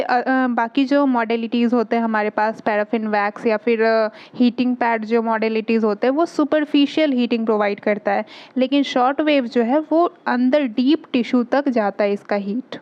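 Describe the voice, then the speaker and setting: A woman's voice: on the high side, highs cut off. A woman with an Indian accent giving an explanatory lesson in simple steps, didactic, asking and answering her own questions and mixing in English technical terms. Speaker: physiotherapy teacher.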